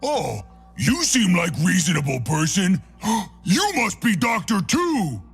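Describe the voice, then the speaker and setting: A man playing a big, dim-witted, crazed brute who thinks he is a doctor, in a video game, speaking gravelly broken grammar with a booming delivery. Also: very animated, takes it slowly, fairly bright tone, soft background music, full character voice. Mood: loud, eager. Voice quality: gravelly, raspy. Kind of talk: video game character voice lines.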